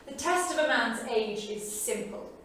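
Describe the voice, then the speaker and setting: Young artist wife, 1938: observant, measured, dry. Theatre reading, distant, heavy room echo. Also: female voice, fairly high pitch, lively intonation, a bit bright. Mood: musing. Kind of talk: staged reading of journal entries, theatrical. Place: small studio theatre, live staged reading. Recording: very echoey theatre, distant mic, faint noise.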